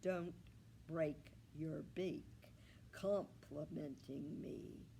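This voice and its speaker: A woman voicing a kindly male pig from a storybook, gentle and earnest, coaxing like a peacemaker. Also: deep voice, fairly even intonation, drawn-out words, vocal fry, a little hiss or hum, full character voice. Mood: put out.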